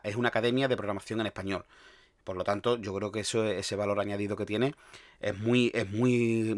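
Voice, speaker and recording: A man from Andalusia with a softened Andalusian accent, hosting a solo podcast in a rambling, restarting way. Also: on the low side, no room sound, clean studio sound. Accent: Andalusian Spanish, softened. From Andalusia, Spain. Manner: rambling, with frequent restarts and fillers. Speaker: podcast host.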